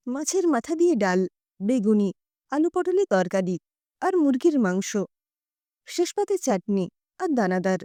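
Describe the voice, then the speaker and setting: A woman narrating a story in an expressive first-person storytelling style. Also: high-pitched, no room sound, studio quality.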